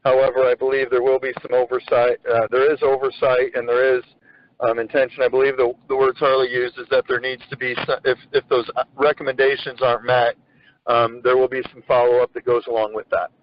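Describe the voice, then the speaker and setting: A man hosting a conference call, speaking haltingly with repeated words and many fillers. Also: medium pitch, level tone. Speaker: host of an agricultural education conference call. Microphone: phone on a conference call. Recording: muffled conference-call audio.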